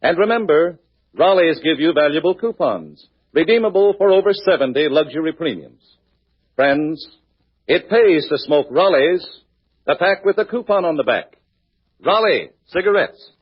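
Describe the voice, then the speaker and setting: A man's voice: fairly high pitch, animated, normal speed, breathy edge. A man reading a 1940s radio advertisement as an announcer, with emphatic salesmanship. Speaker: commercial announcer. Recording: muffled vintage radio recording.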